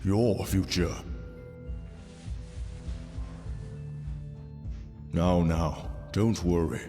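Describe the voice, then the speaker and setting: A man playing an ancient, benevolent king of the gods in an audio roleplay, speaking to the listener in a formal, measured, fatherly way. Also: low voice, fairly even intonation. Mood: solemn, resolute. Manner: formal, stately, measured delivery. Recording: long reverberant hall. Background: soft background music.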